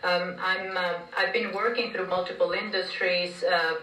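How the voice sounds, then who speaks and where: A woman from Brazil, a podcast guest talking in a conversational, halting way with many fillers and restarts. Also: lowish voice, flat delivery, bright, forceful tone. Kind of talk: conversational interview. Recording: echoey room, distant from mic.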